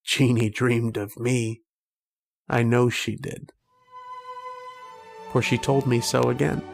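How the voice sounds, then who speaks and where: A man's voice: mid-range voice, flat delivery, takes it slowly, creaky. A man playing a haunted, lovesick, war-weary soldier in an old-time radio horror drama, with a brooding, dramatic delivery. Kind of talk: old-time radio horror acting, first-person narration and dialogue. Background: violin music.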